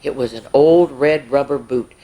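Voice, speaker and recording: A woman hosting story time, reading aloud to young children in an expressive way with little asides. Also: extremely low pitch, moderate pace, low-quality recording.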